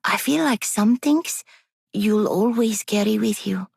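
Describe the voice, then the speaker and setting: A woman voicing a haunted but resolute young woman for a video game, grave and deliberate. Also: mid-range voice, creaky, a little mellow, slightly rough, no room sound, studio quality. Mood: somber.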